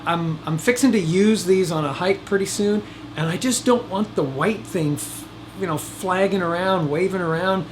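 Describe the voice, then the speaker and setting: Folksy man over loud background hum. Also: a little high. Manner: folksy, jokey, rambling asides. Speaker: host of DIY video series. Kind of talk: casual how-to vlog talk. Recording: loud air conditioner noise behind voice. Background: air conditioner hum.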